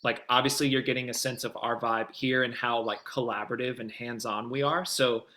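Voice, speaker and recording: Casual, informal man with mid-pitched voice. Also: fairly even intonation, brisk, sharp tone. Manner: informal, peppers talk with "like". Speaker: voice demo producer and director, guest. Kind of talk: casual explanatory chat in an interview.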